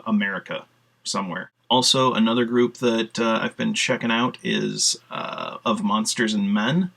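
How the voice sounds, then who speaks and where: Gravelly-voiced man rambling on a vlog. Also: lowish voice, fairly bright tone. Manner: rambling, many "uh" fillers, casual swearing. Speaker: video blogger. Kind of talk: casual solo vlog talk.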